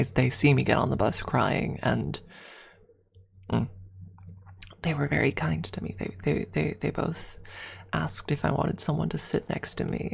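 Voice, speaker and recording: A woman hosting an ASMR livestream, chatting casually to viewers in a soft-spoken, intimate, rambling way. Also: sits low, vocal fry, slightly rough.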